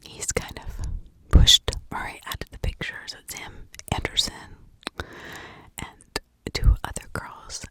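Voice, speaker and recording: A woman's voice: unhurried, sharp tone. Whispering woman telling ASMR stories. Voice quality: breathy, unvoiced whisper. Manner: conversational, with rhetorical asides and check-ins. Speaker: ASMR true crime storyteller. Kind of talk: whispered ASMR storytelling, informal and unscripted.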